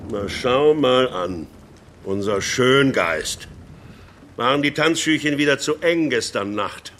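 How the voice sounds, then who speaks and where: An actor playing a brusque, mocking army doctor who barks condescendingly at young recruits in a German radio drama. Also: male voice, medium pitch, lively intonation, slow pace, a bit bright, a little hiss or hum. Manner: sardonic, barking, condescending.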